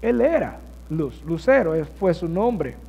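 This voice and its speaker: A high-voiced man preaches from the pulpit of a church, taking his time over each phrase. He keeps asking the congregation questions and answering them himself. The sound carries a long reverb from the sanctuary. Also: very animated, measured pace, gravelly, slightly rough, dull audio. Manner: addresses congregation with rhetorical questions. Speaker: pastor. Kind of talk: sermon.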